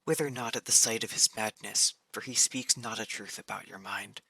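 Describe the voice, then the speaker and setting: An actor playing a devoted, pleading lover in a Shakespearean-style monologue, speaking earnestly and formally. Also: male voice, fairly low pitch, steady tone, moderate pace, creaky, sharp tone, husky, no echo, exaggerated voice. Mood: tender. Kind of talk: poetic dramatic monologue.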